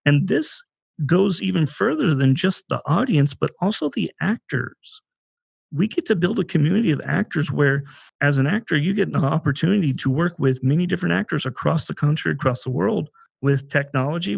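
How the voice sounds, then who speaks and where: A man's voice: fairly high pitch, creaky, no echo. A man co-hosting a podcast, talking conversationally in an earnest, explanatory way. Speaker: podcast co-host. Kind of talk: conversational podcast talk about a theater project.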